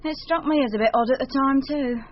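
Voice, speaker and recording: A woman's voice: sits high, a little fast, slight background noise, natural voice. Casting: female actor as cheeky, blunt London wife, raised register, radio drama, muffled audio. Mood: offhand. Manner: blunt, offhand, colloquial. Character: brash, flirty, unsentimental London wife.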